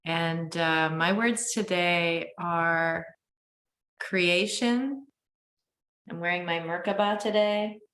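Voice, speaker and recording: Slow-talking woman, echoey room. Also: on the low side, a bit bright. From Vancouver Island, Canada. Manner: slow, unhurried. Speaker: participant.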